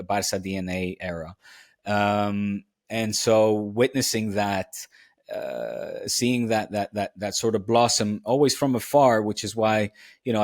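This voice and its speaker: A man's voice: lowish voice, no echo, clean studio sound. A man from the Netherlands, a former TV presenter, sharing memories as a podcast guest in an earnest, reflective way with frequent fillers. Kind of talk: casual podcast conversation.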